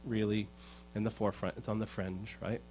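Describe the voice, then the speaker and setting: Earnest, rambling man with a lowish voice. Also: flat delivery, fairly quick, darkish tone, a little hiss or hum, rough recording. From United States. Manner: rambling and earnest, repeats words as he thinks. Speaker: guitarist performing for an audience. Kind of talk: casual storytelling between songs. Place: music showroom.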